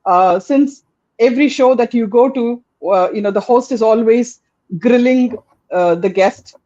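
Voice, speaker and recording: A woman's voice: on the high side, measured pace, no room sound. Woman host, dull lo-fi line. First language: Tamil. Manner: warm, rambling, with many restarts. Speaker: podcast host. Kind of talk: casual interview chat.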